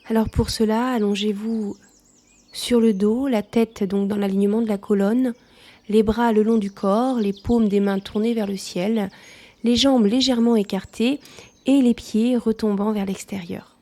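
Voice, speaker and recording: A woman leading a guided yoga nidra relaxation, speaking softly in a calm, soothing manner. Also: medium pitch, unhurried.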